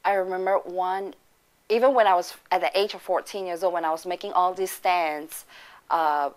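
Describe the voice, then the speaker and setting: Earnest, lower-pitched woman. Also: steady tone, clean tone. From Singapore. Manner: earnest, reflective, pausing often. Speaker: church member giving testimony. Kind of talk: personal faith testimony.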